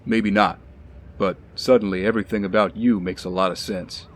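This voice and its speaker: A man playing a steady, loyal ex-soldier bodyguard in an audio drama, calm and measured. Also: fairly low pitch, a little hiss or hum, close to an everyday voice. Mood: calm, frank.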